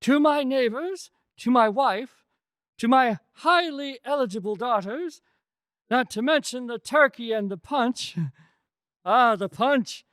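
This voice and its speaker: A man's voice: very high voice, expressive, slow, fairly bright tone, dry recording, clean studio sound, full character voice. A man playing a jolly, hearty old merchant in a radio drama, full of exuberant exclamations. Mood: merry, warm.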